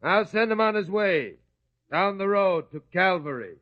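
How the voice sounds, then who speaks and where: Bossy roman officer, high barking voice, lively swings, lo-fi radio play. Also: male voice, a little slow, soft background music. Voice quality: barking, shouted orders. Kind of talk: vintage radio drama acting. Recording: dull lo-fi radio drama recording.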